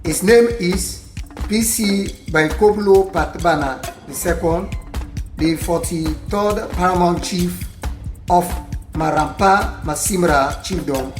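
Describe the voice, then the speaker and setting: A man's voice: sits high, takes it slowly, roomy sound. A solemn, fatherly paramount chief with a Sierra Leonean accent acted by a man for an audio drama. He takes his time over each phrase with formal dignity. The room rings around him, with faint music underneath. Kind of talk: amateur audio drama acting.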